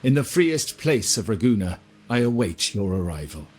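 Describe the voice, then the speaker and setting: A man's voice: fairly low pitch, sing-song, moderate pace, a little mellow, slightly rough. A man voicing a courtly, calculating heir of a powerful family in a video game, speaking formally and with deliberation. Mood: formal, solemn. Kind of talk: scripted game dialogue.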